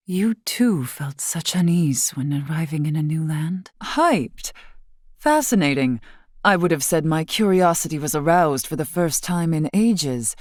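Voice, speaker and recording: A wise elder woman of a fantasy race, with a fairly low voice and a close, dry sound. She speaks in formal, old-fashioned phrasing, measured and courtly, with a gently teasing temper. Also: no room sound. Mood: intrigued. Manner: formal, measured, archaic wording. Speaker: voice actress in a video game. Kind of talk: scripted game character dialogue.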